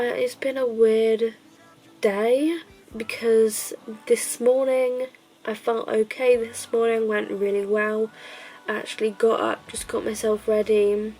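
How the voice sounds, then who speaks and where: A woman's voice: mid-range voice, darkish tone, rough recording. A woman with a stuffy, bunged-up nose, talking candidly at home in a rambling, casual diary vlog. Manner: rambling, filler-heavy, candid. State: stuffy-nosed with a cold. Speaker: vlogger.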